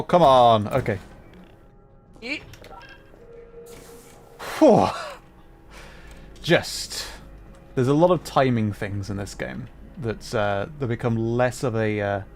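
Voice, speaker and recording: A man's voice: mid-range voice, slow pace, pauses often, faint music behind. A man casually commentating live gameplay for his chat, thinking aloud and interrupting himself as he goes. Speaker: livestreamer, host.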